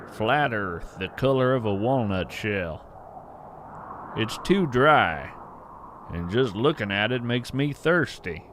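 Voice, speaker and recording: A man playing an earnest wandering naturalist in scripted audio fiction, narrating in a measured, poetic way with deliberate pauses. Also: mid-range voice, a bit bright, a little hiss or hum. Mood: weary, watchful. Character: earnest, wonder-struck wandering naturalist of hidden creatures. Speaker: podcast host narrator in character.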